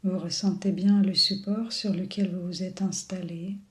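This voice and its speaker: A woman leading a guided body scan meditation in a soothing, unhurried manner with long pauses. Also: on the low side, little pitch movement. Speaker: yoga and relaxation guide.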